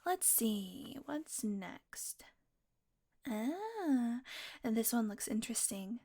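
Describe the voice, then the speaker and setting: A woman's voice: a little high, sing-song, very slow, warm tone, exaggerated voice. A woman voicing a playful, predatory female vampire who teases and mocks, sometimes dropping to a whisper. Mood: teasing. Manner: playful, mocking, drifts into whispers. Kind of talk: audio roleplay.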